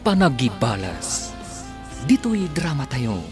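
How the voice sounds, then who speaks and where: A man's voice: medium pitch, sing-song, slow. A man narrating and announcing an Ilocano radio drama in a dramatic scripted style. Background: music under the voice.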